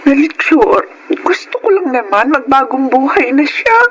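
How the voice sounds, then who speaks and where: A woman's voice: high-pitched, animated, a little slow, highs cut off, slight background noise. A woman acting a worried, well-meaning middle-aged mother in a Filipino radio drama, earnest and moralizing.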